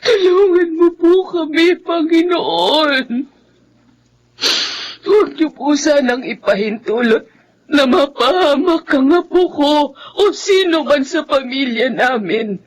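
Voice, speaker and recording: A woman playing an anguished, devout grandmother in a horror radio drama, pleading in a tremulous, drawn-out way. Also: sits high, lively intonation, poor audio. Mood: tearful, praying.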